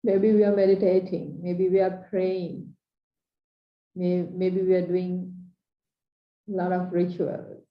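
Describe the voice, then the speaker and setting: A woman from Sri Lanka with a Sri Lankan English accent, giving an earnest, measured coaching talk in short, paused phrases. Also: fairly low pitch, completely flat delivery. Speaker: transformational coach. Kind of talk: slow reflective training talk. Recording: dull echoey room recording.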